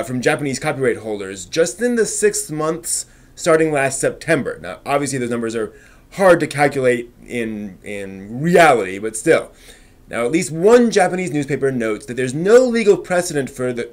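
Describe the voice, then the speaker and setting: A man presenting news as a live stream host in an informal way, with somewhat halting delivery. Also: a little high.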